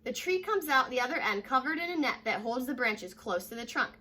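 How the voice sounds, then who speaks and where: A young American woman reading a children's story aloud like a teacher, addressing young listeners directly in a lively way. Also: high-pitched, a little fast. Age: young adult. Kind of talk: children's read-aloud with short asides. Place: living room at home, indoors.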